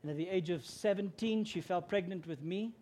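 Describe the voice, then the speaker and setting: A man's voice: sits high, brisk, a little hiss or hum. Afrikaans-man preaching. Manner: earnest, grows louder and more emphatic when preaching. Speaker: guest preacher. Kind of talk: sermon.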